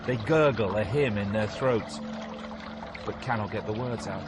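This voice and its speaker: A man playing a calm, solemn ancient poet guiding through hell in an audio drama, measured and grave. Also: on the low side, very noisy, close to an everyday voice.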